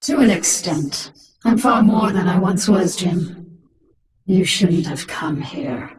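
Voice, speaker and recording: A woman's voice: lowish voice, animated, slow pace, far from the mic, heavy background noise. A woman playing a proud, menacing human-alien warrior queen in a video game, commanding, cold and self-assured, with an echoing effect on her voice. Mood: proud, cool. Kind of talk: dramatic video game voice acting.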